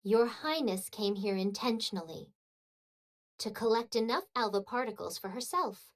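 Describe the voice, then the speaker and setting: A woman playing a loyal, formal and dryly vengeful royal retainer in a video game, with measured, courtly diction. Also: fairly high pitch, animated. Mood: haughty, assured.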